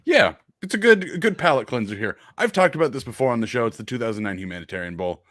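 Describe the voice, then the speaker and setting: A man's voice: medium pitch, animated, fast talker, a bit bright, dry recording, clean studio sound. A man co-hosting a casual sports podcast, with a powerful voice that carries. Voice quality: powerful, projecting voice. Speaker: podcast co-host.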